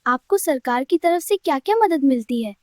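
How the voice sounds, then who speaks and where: Woman as a high-voiced, curious cartoon schoolchild. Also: lively intonation, moderate pace, dry recording, clean studio sound. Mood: polite, curious. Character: polite, curious village schoolchild. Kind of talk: scripted children's educational cartoon dialogue.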